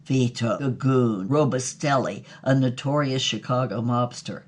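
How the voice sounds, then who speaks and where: A woman's voice: very deep voice, unhurried, darkish tone, slight background noise. A woman voicing a sleazy, scheming husband, a cynical and blustering hustler, in an audio drama. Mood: drunken babble.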